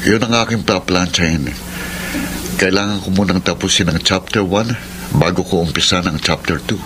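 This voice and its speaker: A man's voice: sits low, normal speed, background noise, lightly acted. A male actor with a Filipino accent playing a weary, defiant young womanizer in a radio drama. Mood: resolute. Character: weary, defiant young womanizer boyfriend narrating his love story. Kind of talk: Filipino radio drama acting and letter narration. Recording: music bed under voice.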